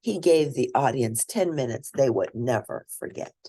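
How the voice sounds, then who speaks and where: A woman reading a children's picture book aloud as host, in expressive, deliberate storytelling. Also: a bass voice.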